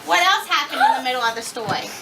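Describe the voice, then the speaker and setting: High-pitched female teacher quizzing small children, distant in a noisy classroom. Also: very animated, quick pace. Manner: repeats children's answers back to them. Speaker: student teacher leading young children. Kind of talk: classroom question-and-answer with young children. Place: classroom, indoors, away from the mic. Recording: echoey, noisy classroom from far off.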